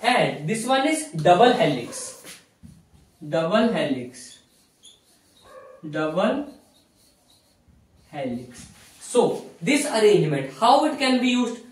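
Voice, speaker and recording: A man's voice: sits high, sing-song, slow pace, pauses often. Young guy teaching a class, repeats himself, says okay a lot, really echoey room, mic kinda far off. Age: young adult. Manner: repeats key phrases for emphasis, frequent "okay". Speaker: physiotherapy teacher. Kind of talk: classroom-style lecture at a whiteboard. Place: small bare indoor room with whiteboard. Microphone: camera mic a few steps away. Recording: very echoey room, distant microphone.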